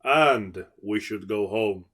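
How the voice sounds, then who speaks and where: Gruff young wasteland survivor read by a man: low, steady, tired and cautious, for an adventure story. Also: takes it slowly. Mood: firm, cautious. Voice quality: lightly gruff. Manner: firm, matter-of-fact.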